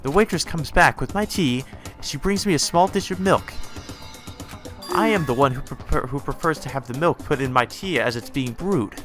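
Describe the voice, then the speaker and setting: A man voicing a haughty, spoiled young woman with prim, formal diction and a sharp temper, in a visual novel. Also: on the high side, normal speed, faint music behind, natural voice. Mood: haughty, lecturing. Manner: prim formal diction that snaps into foul-mouthed commands.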